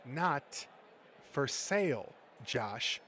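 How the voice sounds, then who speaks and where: A man's voice: medium pitch, sing-song, drawn-out words, a bit bright, full character voice. A man voicing a hostile, contemptuous gatekeeping nerd in a comic dub, with a sneering, foul-mouthed manner and noise in the recording. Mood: smug. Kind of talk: comic dub character acting.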